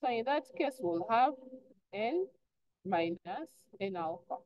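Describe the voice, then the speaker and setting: A woman teaches an online class in an instructive way, explaining step by step at a medium pitch. She poses short prompting questions and answers them herself. The audio is dull and lo-fi. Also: lively intonation, a little slow. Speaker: chemistry teacher.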